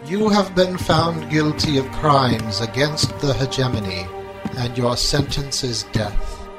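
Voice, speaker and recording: A man's voice: mid-range voice, expressive, slow pace, music in the background. A man playing a stern judge of an oppressive regime in a science fiction audio drama.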